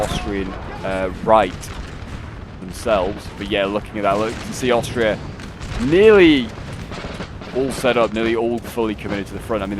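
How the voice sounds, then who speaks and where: A man's voice: lowish voice, noisy background. A man casually commentating a strategy game battle, rambling with fillers and restarts. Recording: loud game battle sounds under voice. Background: in-game gunfire and artillery.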